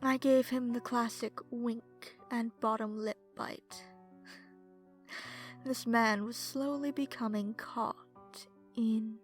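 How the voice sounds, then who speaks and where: Woman playing a slow, sultry vampire temptress. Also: fairly high pitch. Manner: sultry, breathy, slipping into whispers. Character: seductive, predatory female vampire huntress, smug and cruel. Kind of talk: ASMR horror story narration in first person.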